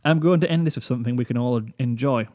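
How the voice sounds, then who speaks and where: A man's voice: medium pitch, fast talker, darkish tone, dry recording, studio quality. A British man reading a scripted reflection to his channel's viewers in a rambling, self-deprecating, slightly awkward way, sitting at a desk microphone. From Britain. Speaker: YouTube channel host.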